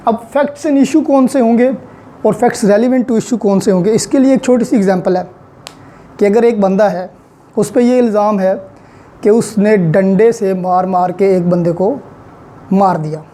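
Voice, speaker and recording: A woman with a Pakistani accent delivers a methodical, instructive lecture. Her voice sits at a medium pitch with a mellow, relaxed tone. She takes her time, switching into English for technical terms as she explains each point. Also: unhurried. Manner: code-switches between Urdu and English legal terms; didactic, step-by-step explaining. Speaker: law lecturer.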